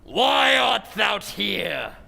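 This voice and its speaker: A woman's voice: mid-range voice, very animated, drawn-out words, gravelly, pushed and bright, raised voice, full character voice. A woman playing a vengeful, menacing male ghost knight in an old-time radio drama, declaiming in archaic speech. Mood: booming wrath. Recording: reverberant hall sound.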